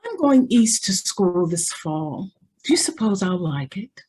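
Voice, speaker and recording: A woman's voice: medium pitch, very animated, measured pace, a little mellow, slightly rough. An actress playing a naive, self-assured and sheltered teenage rich girl in an old-time radio drama, speaking with prim artlessness. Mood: friendly, curious.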